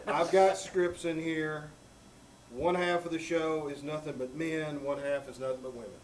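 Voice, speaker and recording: A man's voice: fairly high pitch, level tone, moderate pace, very noisy, lightly acted. A man acting an exasperated referee of a squabble in a live comedic stage skit at a small theater. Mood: organizing. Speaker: troupe member running a live stage show. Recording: live theater with loud audience.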